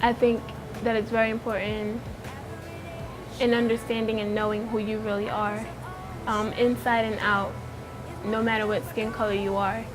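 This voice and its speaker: A woman's voice: a little high, level tone, normal speed, rough recording. A young woman from Pennsylvania speaking earnestly about identity, often opening with "I think that" and circling back to her point. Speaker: interviewee. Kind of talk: personal testimony to camera. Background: background music.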